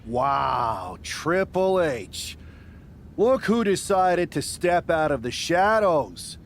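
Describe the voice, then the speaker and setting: An actor playing a villainous wrestler and rebel faction leader, mocking, power-hungry and theatrical, in a video game cutscene. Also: male voice, on the high side, big pitch swings, a little hiss or hum, exaggerated voice. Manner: theatrical, taunting, deliberate.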